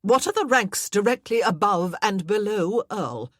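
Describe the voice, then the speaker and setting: Lady reading as a naggy fussy aunt, loud and pushy, dry sound. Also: medium pitch, expressive, unhurried, no echo, voice pushed up. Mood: badgering. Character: fussy anxious middle-aged aunt, pestering.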